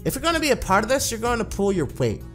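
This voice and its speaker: A man's voice: high-pitched, wildly swooping pitch, fast talker, fairly bright tone, soft background music, voice pushed up, full character voice. A man voicing a blunt, demanding young female pro gamer in a visual novel, with a bossy, no-nonsense manner.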